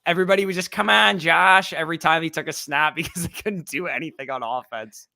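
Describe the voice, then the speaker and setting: A man's voice: fairly high pitch, fast, creaky, bright, forceful tone, no echo. A young man co-hosting a casual sports podcast, opinionated and rambling, often laughing. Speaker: podcast co-host.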